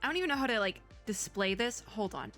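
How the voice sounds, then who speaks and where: A woman's voice: medium pitch, lively intonation, quick pace, fairly bright tone, soft background music. A woman hosting a casual gaming reaction video, chatty and rambling, with playful exasperation. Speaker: reaction video host and VTuber. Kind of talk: casual reaction chat to gamers. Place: indoors at a home computer setup.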